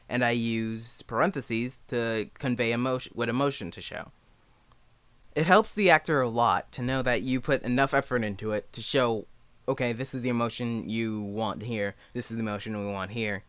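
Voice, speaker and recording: Clear-voiced young man, casual tutorial. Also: medium pitch, moderate pace, a bit bright, dry recording. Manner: informal, self-deprecating. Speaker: amateur fan dub creator giving a tutorial.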